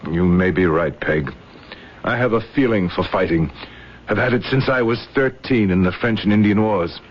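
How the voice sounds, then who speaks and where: An actor playing a proud, bitter Revolutionary War general of thirty-nine in a radio drama. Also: male voice, on the low side, normal speed, close to an everyday voice. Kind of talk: 1970s radio drama acting. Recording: dull old radio broadcast recording.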